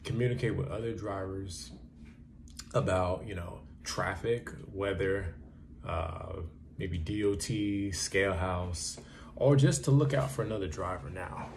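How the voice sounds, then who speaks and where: A man's voice: sits low, unhurried, a little hiss or hum. A young man talking casually and bluntly to camera, giving advice in a repetitive, conversational way. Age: young adult. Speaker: trucker giving advice in a vlog. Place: small plain indoor room.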